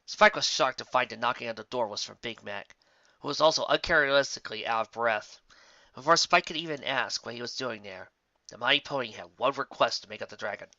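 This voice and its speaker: A man reading fan fiction aloud as an expressive scripted story narrator. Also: mid-range voice, a little fast, no echo, muffled recording.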